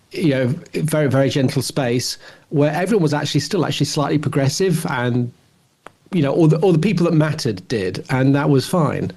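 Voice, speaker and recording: British male, middle-aged, podcast co-host, loose rambling conversational delivery with mid pitch. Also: a little mellow. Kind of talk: casual chat about television.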